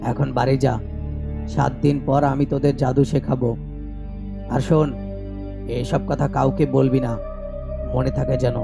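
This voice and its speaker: A stern village sorcerer, acted by a man speaks in a slow, flat, gravelly voice. His commanding words sit under very loud guitar music in a horror cartoon. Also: mid-range voice, pitched below the performer's own voice. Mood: calm, warning. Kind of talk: animated horror story acting.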